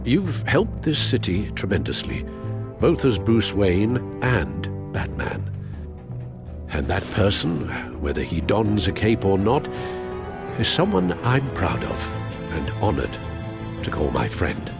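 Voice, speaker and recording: Low-voiced, formal older butler. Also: male voice, very animated, full character voice. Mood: earnest, proud. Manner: measured, formal, earnest. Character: older loyal gentleman butler, earnest and fatherly. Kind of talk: video game voice acting. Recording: music behind the voice.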